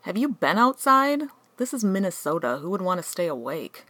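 A woman's voice: fairly low pitch, very animated, normal speed, pushed and bright, dry recording, clean studio sound, exaggerated voice. A woman playing a weary, sarcastic, easily distracted angel in a comic radio play, offhand and exasperated.

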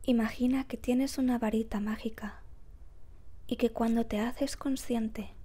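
A woman guiding a meditation in a soft, breathy, whispery voice, calm and gentle, with measured phrases and long pauses. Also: on the high side. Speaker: meditation guide. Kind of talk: slow guided meditation narration.

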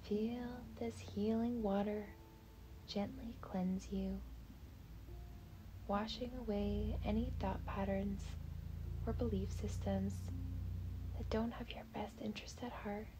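A woman leading a guided meditation in a soft, breathy, half-whispered voice, gentle, soothing and unhurried. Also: mid-range voice, fairly even intonation, noisy background.

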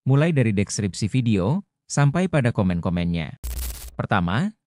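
A man delivers a tutorial voice-over in a dark, soft-edged tone. He explains things methodically, one step at a time. The sound is dry and close to the mic. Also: on the low side, no echo. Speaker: tutorial host. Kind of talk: instructional screen-recording narration.

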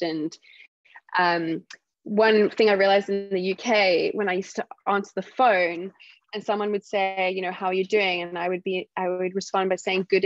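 A woman from South Africa with a softened South African accent, a guest telling stories in a casual interview and acting out what other people say. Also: mid-range voice, no echo, lo-fi sound. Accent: South African, softened by years in the UK. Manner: animated storytelling, acts out other people's remarks. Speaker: guest speaker.

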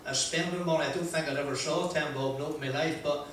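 An older Northern Irish man tells a rambling, self-deprecating story to a seated audience, with his voice a touch high for a man. He is picked up from some distance off, and a big echoey room rings around him. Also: steady tone, fairly bright tone, a little hiss or hum. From Northern Ireland. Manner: chatty, self-deprecating, frequent "uh" pauses and laughs. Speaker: motivational speaker. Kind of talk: anecdotal motivational talk. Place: large wood-panelled function room, audience present. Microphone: handheld mic.

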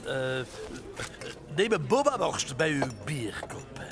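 Animated Flemish man reading aloud, high-pitched. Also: slow pace, noisy background. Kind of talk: animated comic-book read-aloud with character voices.

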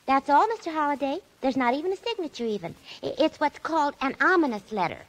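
A woman's voice: high-pitched, lively intonation, fast talker. A woman playing a naive, ditzy, earnest secretary in 1940s radio drama style. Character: naive ditzy female secretary, earnest, misusing big words.

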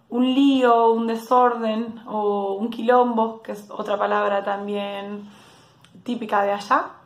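Slow-talking Argentine woman, echoey room. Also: a little high. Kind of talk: casual video message. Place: indoor room.

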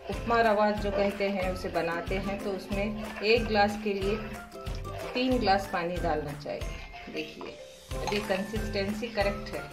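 A woman in a home cooking demonstration, speaking at a steady middle pitch with a patient, explanatory manner. She guides the listener one step at a time and keeps pointing things out. Loud music plays underneath her voice. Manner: patient, explanatory, repeats steps with "dekhiye". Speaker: home cooking channel host. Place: home kitchen, cooking at the stove.

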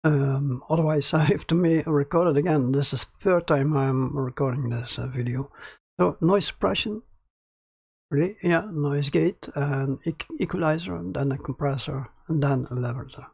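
A man's voice: on the high side, moderate pace, a little mellow, no echo. A man hosting a casual audio tutorial in a small home studio, with a crackly voice and a hesitant way of talking full of fillers and restarts. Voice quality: crackly, gravelly voice. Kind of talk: casual screen-recorded tutorial. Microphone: close studio mic about ten centimeters away.

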